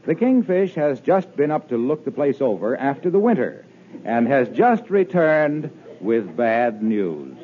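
A man's voice: mid-range voice, sing-song, unhurried, slight background noise. A man announcing and narrating a scripted 1950s radio show in a formal, emphatic manner. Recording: muffled old radio broadcast. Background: loud music.

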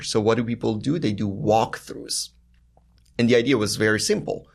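A man's voice: fairly low pitch, gravelly. A man from Iran, a startup founder, explaining his business methodically as a podcast guest. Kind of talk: interview conversation.

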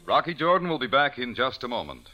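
A man's voice: medium pitch, big pitch swings, fast, loud music behind the voice. A man working as a radio announcer, reading scripted copy in 1940s style on an old radio recording.

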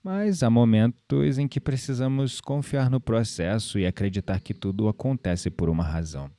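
An actor with a Brazilian accent playing a calm, playful, spiritually minded man in an audio drama. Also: medium pitch, normal speed, natural voice. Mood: earnest, philosophical. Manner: gently playful, reflective, philosophical.

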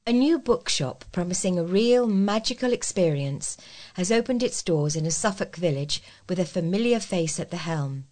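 A woman's voice: lowish voice, darkish tone. A woman reading scripted local news stories aloud as a volunteer news reader.

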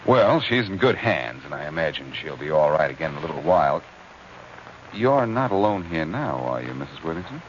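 Radio detective, low lively voice, smooth, courteous, take-charge, muffled old broadcast. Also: male voice, fairly quick. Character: calm, courteous, quick-witted amateur male detective. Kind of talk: 1940s radio acting. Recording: muffled, noisy old-time radio recording.

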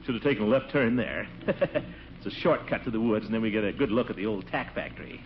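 A man's voice: fairly low pitch, fast talker, close to an everyday voice. An actor playing a blustery, eager, pompous middle-aged American man in a 1940s radio comedy. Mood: boastful, cheery. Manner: blustery, exclaiming, hemming. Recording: muffled, noisy 1940s radio recording.

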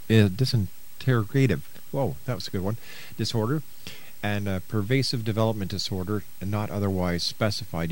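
A man's voice: fairly low pitch, rough recording. A middle-aged man hosting a radio interview, introducing and questioning his guest in an avuncular way. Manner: avuncular, uses pet names for the guest. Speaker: radio talk show host and interviewer.

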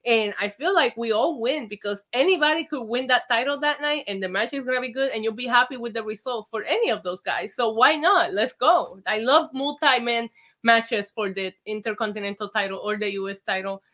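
Bright-voiced chatty woman co-host. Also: fairly high pitch, brisk. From Puerto Rico. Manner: animated, chatty, lots of "like". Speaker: wrestling show co-host. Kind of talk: casual review chat.

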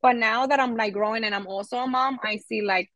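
A woman in her thirties with a New York accent, a podcast guest talking in a relaxed, reflective way with many filler words. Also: on the high side, brisk, dry recording. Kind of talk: casual conversational interview.

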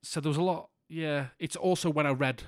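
A man from in England co-hosting a podcast in casual chat, with a monotone voice and a rambling way of talking full of restarts. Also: fairly high pitch, fairly quick, no room sound, studio quality. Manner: rambling, many restarts and fillers. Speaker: podcast co-host.

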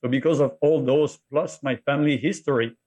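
A middle-aged man speaking with a French accent in an even, middle-range voice. He talks as a podcast guest, often stopping to restart or repeat a word as he tells his story. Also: mid-range voice. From France. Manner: halting, repeats words while telling his story. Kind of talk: interview conversation.

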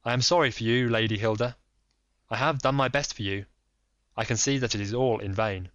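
A man voicing a calm, commanding detective in a measured, insistent way. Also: medium pitch, no echo, natural voice. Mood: urging. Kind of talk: audiobook reading.

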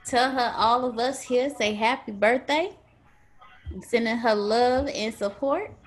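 A woman leading a book discussion group at an unhurried pace. She talks in a friendly, easy way as she wraps things up. Her voice is a little high. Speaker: group host. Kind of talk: casual chat.

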